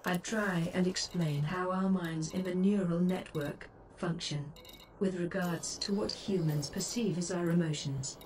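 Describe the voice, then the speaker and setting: A woman's voice: on the low side, fairly even intonation, unhurried, a little mellow, close to an everyday voice. A woman playing a composed, philosophical shipboard artificial intelligence in a space game, speaking formally and instructively. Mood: solemn, instructive. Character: composed female ship artificial intelligence companion, advising and philosophical. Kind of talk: scripted game voice pack lines. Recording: distant, noisy game stream capture.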